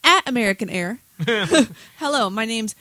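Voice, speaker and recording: A woman's voice: on the high side, lively intonation, normal speed, bright, forceful tone, dry recording. A woman co-hosting a talk show, going through news stories in an informal, wry, chatty way. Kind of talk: casual chat about news stories.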